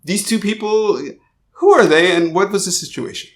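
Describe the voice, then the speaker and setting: A man hosting an interview podcast, describing a photograph slowly with many pauses and fillers. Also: high-pitched. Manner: hesitant, describes at length with fillers. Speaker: podcast host. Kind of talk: casual interview chat. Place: guest's house.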